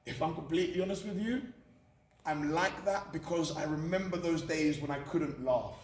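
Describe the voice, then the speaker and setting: A man's voice: on the high side, normal speed, darkish tone. British man speaking in an echoey room. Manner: candid, emphatic, repeats phrases for effect. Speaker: motivational speaker at a college. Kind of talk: personal motivational talk to students. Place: indoor room at a college. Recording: echoey room from far off, faint noise.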